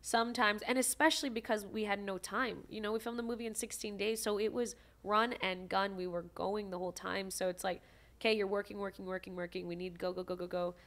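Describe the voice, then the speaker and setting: A young adult woman from Maui, an actress guest telling stories in a casual, animated podcast chat. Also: mid-range voice. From Maui, Hawaii. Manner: animated, quick storytelling with restarts.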